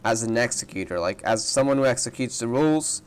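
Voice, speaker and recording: A man's voice: mid-range voice, animated, fairly quick, clear, resonant tone. A man giving casual reaction commentary on a game playthrough, thinking aloud in rambling asides. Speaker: gamer reacting to a story playthrough.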